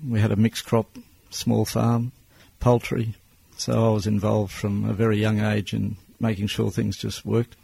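Gravelly, husky older Australian man. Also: lowish voice, little pitch movement, mellow, no echo, low-quality recording. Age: 60s. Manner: plain-spoken, measured. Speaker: business owner guest. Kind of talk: interview answers.

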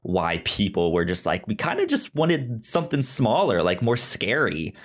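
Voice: medium pitch, huge pitch swings, moderate pace. A podcast co-host chatting casually about films, often stammering and repeating words in a hesitant way. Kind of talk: casual film discussion.

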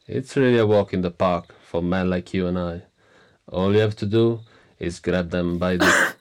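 An actor playing a pompous, smug prime minister in a radio play, lecturing with boastful self-importance. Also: male voice, deep voice. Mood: scornful. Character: pompous arrogant male prime minister, middle-aged. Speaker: politician.

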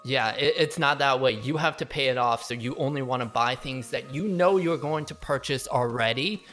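A man hosts a casual interview and picks up his guest's points in a measured, conversational way. His pitch is mid-range. Soft music plays faintly behind him.

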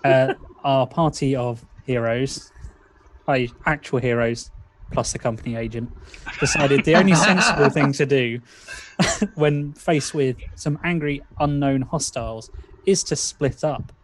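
A man's voice: mid-range voice, measured pace, background noise. A man playing in a tabletop role-playing show, talking casually and jokily with dry, sarcastic humour. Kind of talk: casual, jokey story recap.

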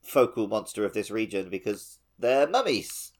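A man's voice: fairly low pitch, sing-song, normal speed. A man co-hosting a casual gaming podcast, laid-back, with a dry teasing sense of humor. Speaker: podcast co-host.

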